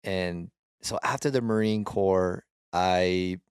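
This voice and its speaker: A man in his twenties, a podcast guest, telling his story in a relaxed, halting way with frequent restarts. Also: deep voice, clean tone, dry recording, clean studio sound. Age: 20s. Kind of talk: casual storytelling.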